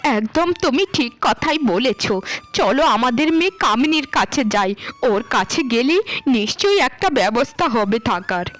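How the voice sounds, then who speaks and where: High-pitched, wailing older wife voiced by a woman. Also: expressive, a little slow, fairly bright tone. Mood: mournful hope. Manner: melodramatic, wailing, stammering when shocked. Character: ageing landlord's wife, mother, dramatic and lamenting. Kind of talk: Bengali cartoon melodrama acting.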